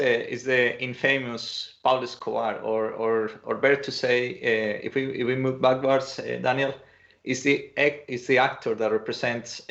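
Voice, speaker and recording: A man's voice: mid-range voice, gravelly, a bit bright. A man from Colombia giving an online conference talk, hesitant, with many fillers and restarts, over muffled video-call audio. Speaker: presenter.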